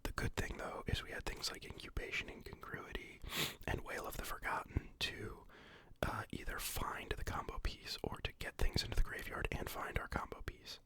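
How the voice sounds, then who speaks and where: Breathy whispering ASMR explainer, sniffly from a recent illness, halting, full of fillers. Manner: rambling, halting, many fillers and restarts. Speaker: card game deck explainer. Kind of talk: whispered ASMR deck walkthrough.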